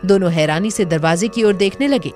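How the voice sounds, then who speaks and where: Female narrator, mid-range voice, dramatic scripted storytelling for an audio series, gentle music bed. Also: medium pitch, a little fast.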